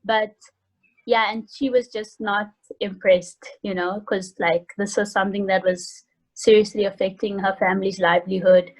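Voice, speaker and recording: A woman's voice: mid-range voice, steady tone, normal speed, low-quality recording. A woman in her early twenties with a South African accent chats casually and hesitantly over a video call, in an informal student way. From South Africa, Johannesburg. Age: early 20s. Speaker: interview guest, university student. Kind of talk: casual chat. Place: home room indoors.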